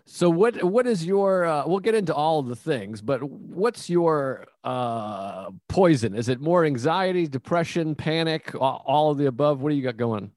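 A man's voice: on the high side, animated, a little slow, hardly any pauses, darkish tone, no echo. An American man from Massachusetts hosting a casual interview podcast, talking in an informal, self-deprecating, rambling way. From Massachusetts, United States.

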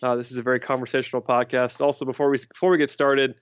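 Fast-talking man, dry dull podcast audio. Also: mid-range voice, level tone, a little hiss or hum. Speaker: podcast host. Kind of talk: casual sports chat.

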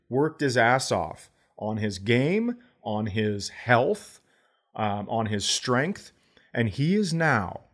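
A man co-hosting a podcast, telling stories casually with salty language and a comedic bent. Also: medium pitch. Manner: profane, conversational, acts out quotes. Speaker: podcast co-host. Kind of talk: casual chat, comedic storytelling.

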